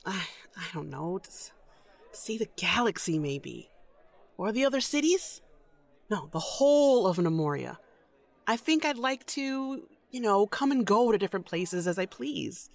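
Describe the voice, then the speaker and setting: A guarded, weary woman in an audio drama. Her voice has a noticeable creak and swings widely in pitch, with a hesitant, cautious delivery. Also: very animated, unhurried, full character voice. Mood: wistful. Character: wary, weary former captive, cautiously yearning for freedom. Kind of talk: audio drama dialogue acting.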